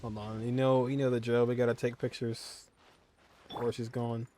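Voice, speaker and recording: A man's voice: mid-range voice, little pitch movement, moderate pace, mellow, faint music behind. A man giving casual, teasing gameplay commentary in a slangy way. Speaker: streamer playing a game.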